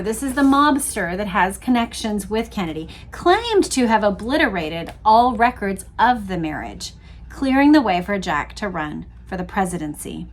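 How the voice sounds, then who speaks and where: Woman w/ slightly high voice doing a book readalong, chatty explainer style, reads aloud and comments casually. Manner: conversational, explanatory asides to listeners.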